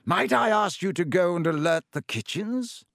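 A man voicing a kindly, wise old male wizard headmaster, gentle and measured. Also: high voice, lively intonation, creaky, dry recording, studio quality, pitched above the performer's own voice, exaggerated voice.

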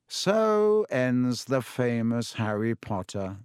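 A man voicing a cold, gloating young dark wizard villain in an audiobook, taunting and menacing with drawn-out words. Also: mid-range voice, very animated, smooth, no room sound, studio quality. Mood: gloating, unhurried.

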